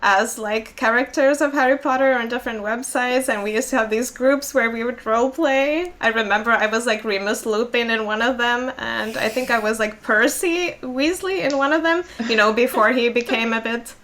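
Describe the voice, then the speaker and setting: Chatty Finnish woman, fairly high voice. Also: normal speed, hardly any pauses, a bit bright, slight background noise. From Finland. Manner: chatty, many likes and laughs. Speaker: podcast co-host. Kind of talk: casual chat.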